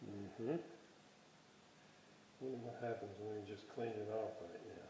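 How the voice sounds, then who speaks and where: Slow-talking man musing in a roomy space. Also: on the low side, warm tone, a little hiss or hum. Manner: musing aloud, halting, thinking while working. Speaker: hobbyist presenter of a restoration video series. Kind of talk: casual hands-on workshop demonstration. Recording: dull, roomy home workshop recording.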